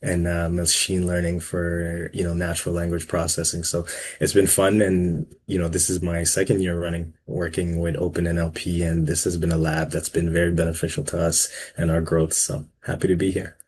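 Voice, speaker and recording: A man from the Congo, a startup co-founder, giving a relaxed, chatty panel introduction with many fillers. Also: sits low, moderate pace, slightly rough.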